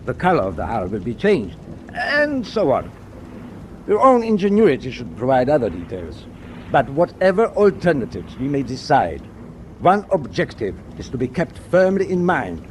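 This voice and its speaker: An actor playing a stern, proud middle-aged German captain in a wartime radio drama, speaking in a clipped, deliberate, imperious way. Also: male voice, mid-range voice, huge pitch swings, slight background noise. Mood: commanding, proud.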